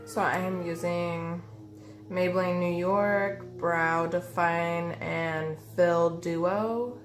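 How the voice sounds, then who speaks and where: A woman's voice: on the low side, steady tone, extremely slow. A woman in her thirties with a nasal, stuffy voice from a cold, chatting through a makeup tutorial at home with many ums and uhs. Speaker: beauty vlogger. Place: bedroom by a window, indoors. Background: faint music and weed eater noise.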